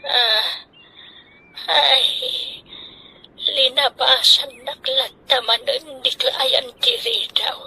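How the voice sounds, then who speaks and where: Radio drama role: sorrowful mother, Ilocano, high sharp tone, dragging plaintive pace. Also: steady tone, very slow, vocal fry, long pauses, slightly rough, heavily acted, rough recording.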